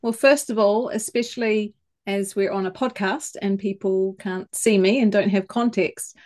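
A woman with a New Zealand accent speaks in an easy mid-range voice, choosing her words with care. She talks as a guest in a relaxed podcast conversation. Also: medium pitch. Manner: thoughtful, measured, with small restarts. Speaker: communications coach, podcast guest. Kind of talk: conversational interview.

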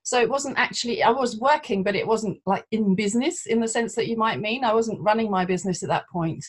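A woman's voice: fairly high pitch, fairly quick, breathy edge. Chatty middle-aged woman from London. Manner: chatty, hesitant, frequent fillers. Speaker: translator, interview guest. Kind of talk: conversational interview.

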